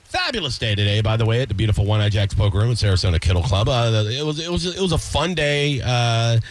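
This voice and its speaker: A man's voice: medium pitch, expressive, fast talker, faint music behind. A male radio host chatting casually and jokingly with his co-host in the studio, rambling from one aside to the next.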